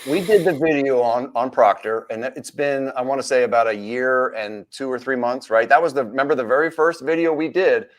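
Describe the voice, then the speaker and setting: A man co-hosts a casual live stream, talking freely and telling stories from his old police work. His pitch sits in the middle for a man. He laughs easily and keeps the chat rolling. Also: brisk, hardly any pauses. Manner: chatty, storytelling, laughs easily. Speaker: co-host, former police officer.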